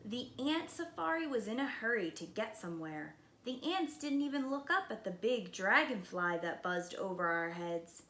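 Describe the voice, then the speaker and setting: A woman preschool teacher reading a picture book aloud expressively, with dramatic slowed emphasis and hushed moments. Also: on the high side, sing-song. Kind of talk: expressive children's read-aloud. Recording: roomy echo, light background noise.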